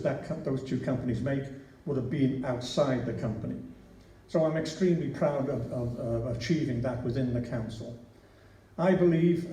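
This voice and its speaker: An older man with a Liverpool accent speaks at a public hustings in a large church hall, talking in a chatty, anecdotal way with frequent restarts. His voice is very dark and mellow. He is picked up from a distance, and the hall echoes around him over a faint hiss. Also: medium pitch. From Liverpool, England. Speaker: local council candidate. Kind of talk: hustings speech.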